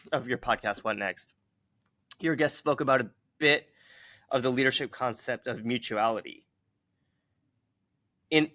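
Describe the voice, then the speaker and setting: A man with a bright, forward voice of medium pitch conducts an interview. He is measured and articulate, building considered questions at length. The sound is dry and free of room echo.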